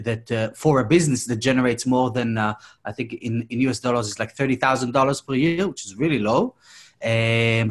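Male panelist, thirties, Israeli accent. Average pitch, casual and somewhat halting delivery, headset on a video call. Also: fairly bright tone. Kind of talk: casual round-table discussion. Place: indoor room.

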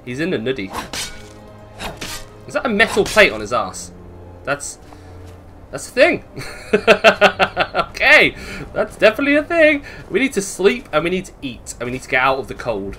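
A man's voice: on the high side, big pitch swings, normal speed, pushed and bright, soft background music. A man giving casual, chatty gameplay commentary, thinking aloud playfully and swearing freely. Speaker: gaming video host.

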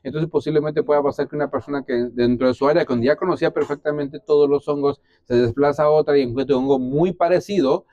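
A man's voice: a little high, fairly even intonation, fast, few pauses, dark tone, dry recording, muffled recording. A man, explaining as an expert in an interview, with hesitant restarts. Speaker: biologist, interviewee. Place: small indoor exhibition room. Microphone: clip-on lapel mic.

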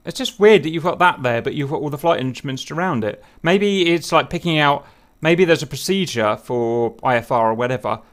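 A man's voice: a little high, expressive, brisk, fairly bright tone. A man leads a loose group discussion over voice chat. He thinks aloud, trailing off into fillers and asking the others what things are. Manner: thinks aloud, many fillers and wondering questions. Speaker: host leading a group discussion. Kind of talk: casual group chat over a cockpit picture.

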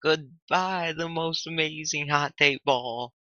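A man's voice: a little high, takes it slowly, pushed and bright, no room sound, voice pushed up. A man voicing a hapless young male gamer who speaks hesitantly, in a dry, slightly dull recording with music underneath. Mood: sheepish.

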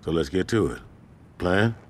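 Deep-voiced, commanding American gang boss. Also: male voice, lively intonation, normal speed, slight background noise. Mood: firm, measured. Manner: streetwise, authoritative, slangy. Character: commanding male street gang leader, steely and loyal. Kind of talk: video game cutscene acting.

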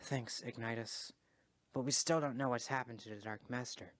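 A man's voice: medium pitch, steady tone, normal speed, fairly bright tone, close to an everyday voice. A man voicing a young, kindhearted male dragon hero, earnest and sincere, in an amateur video game fandub. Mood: calm, sincere.

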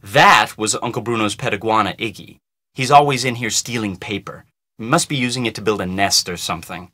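An actor playing an anxious young slacker in a video game, with a casual drawl and a defensive, pleading manner. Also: male voice, lowish voice, fairly quick, pushed and bright, no echo, clean studio sound. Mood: laid-back, offhand. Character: whiny, anxious young slacker man, pushed around by his girlfriend.

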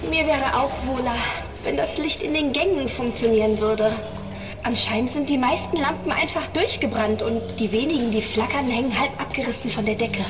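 A woman's voice: on the high side, normal speed, close to an everyday voice. A woman playing an anxious young crew medical assistant in a sci-fi horror audio drama. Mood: uneasy. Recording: music under the voice.

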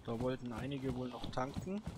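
Male gaming host, mid-pitched, casual self-talk in short muttered remarks, clear background noise and clicks. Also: normal speed. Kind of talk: casual solo let's play commentary.

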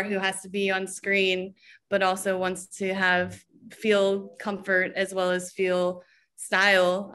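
A young woman designer on a video call from home, talking slowly and searching for words with frequent restarts. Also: mid-range voice, a bit bright. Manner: slow, searching, with many restarts. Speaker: fashion designer. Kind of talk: relaxed video call talk. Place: home room with painted backdrop.

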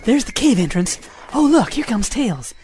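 A woman's voice: a little high, big pitch swings, moderate pace, mellow, a little hiss or hum. A woman voicing a cocky, carefree young male hedgehog hero with breezy, slangy delivery in an amateur radio drama. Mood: musing.

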